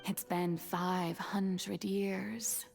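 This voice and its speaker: An actress playing a regal, theatrical young goddess in a video game, with formal, elegant diction. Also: female voice, mid-range voice, flat delivery, very slow, gravelly. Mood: solemn, sorrowful. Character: theatrical goddess, regal, solemn yet grandiose young woman. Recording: game dialogue under loud music.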